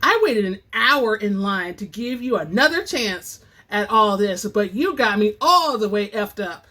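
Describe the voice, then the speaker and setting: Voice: mid-range voice, expressive, unhurried, bright, forceful tone. A narrator voicing a sassy, indignant young woman from a romance novel, confrontational and slangy.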